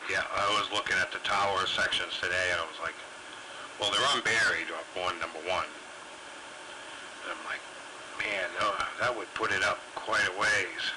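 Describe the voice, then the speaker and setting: A man with a fairly low voice chats over CB radio. His talk is casual, blunt and salty. Very loud radio noise covers the transmission. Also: fairly even intonation, normal speed. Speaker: CB radio operator.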